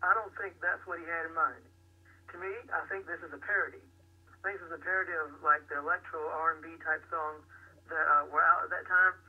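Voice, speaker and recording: A man's voice: a little high, steady tone, brisk, slightly rough. A man co-hosting a casual album review, giving opinions and repeating words as he thinks. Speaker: podcast co-host. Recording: tinny phone-like call audio.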